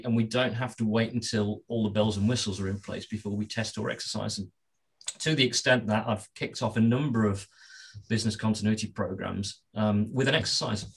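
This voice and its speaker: Low husky guy, bit hoarse, explains things slow and careful with lots of restarts. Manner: measured, with many restarts and repeated words. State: slightly hoarse, clearing his throat. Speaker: business continuity expert and author, guest. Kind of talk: explanatory interview answers.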